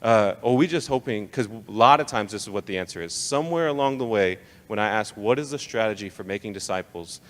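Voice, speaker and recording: A man's voice: fairly low pitch, lively intonation, brisk, hardly any pauses. A young American man giving an informal, emphatic live talk to church leaders, conversational and slangy, often pressing rhetorical questions. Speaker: speaker leading a church leadership training session. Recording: live talk in a reverberant hall.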